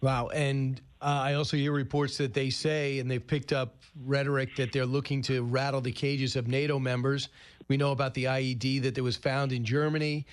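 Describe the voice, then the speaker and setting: A man's voice: mid-range voice, little pitch movement, normal speed. This is a man hosting a current-affairs radio interview. His voice sits in the middle of the male range. He speaks conversationally, with casual, sporty phrasing as he frames his questions. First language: English. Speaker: radio show host and interviewer.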